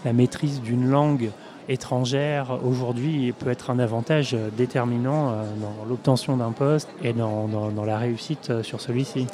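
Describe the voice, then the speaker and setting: A man answering interview questions at a trade fair, speaking in measured phrases with frequent hesitations. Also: mid-range voice, fairly even intonation, a crowd in the background. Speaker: in-house lawyer, guest.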